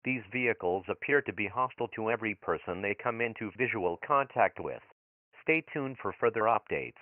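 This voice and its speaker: A low male voice comes through a tinny, phone-like broadcast filter, very dry. A man plays an impersonal emergency alert announcer, reading official notices in a flat, formal manner. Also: brisk, no room sound. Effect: radio broadcast filter.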